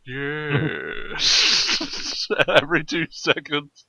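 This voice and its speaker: A man with a bright, forceful voice banters casually on a podcast. His pitch swings widely and he takes his time, sounding excitable and jokey. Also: very slow, creaky.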